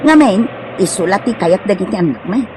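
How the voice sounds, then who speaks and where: A woman's voice: mid-range voice, expressive, brisk, crowd noise, close to an everyday voice, rough recording. An Ilocano-accented woman playing a whiny, jealous mother of many in a comedy radio drama, complaining dramatically. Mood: persuading.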